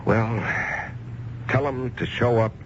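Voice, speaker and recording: An actor playing a stern, formal company boss who gives orders curtly, in a 1970s radio drama. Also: male voice, medium pitch, steady tone, slow, natural voice. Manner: curt, commanding, formal. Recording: lo-fi vintage radio recording, faint hiss.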